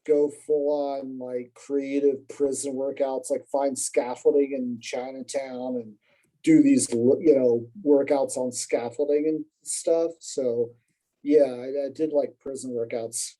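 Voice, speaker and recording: Dark-voiced man chatting casually. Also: medium pitch, fairly even intonation, takes it slowly, poor audio. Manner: rambling, self-deprecating, lots of fillers and restarts. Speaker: podcast guest. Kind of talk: casual conversational storytelling.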